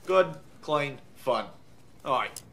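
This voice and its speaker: A man doing a jokey, deadpan kayak demo outdoors. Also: mid-range voice, takes it slowly, noisy background. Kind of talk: comic product demo.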